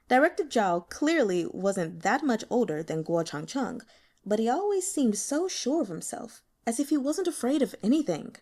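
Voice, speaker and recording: A woman narrating a novel as an audiobook reader, in an expressive scripted style. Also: a little high, a little slow.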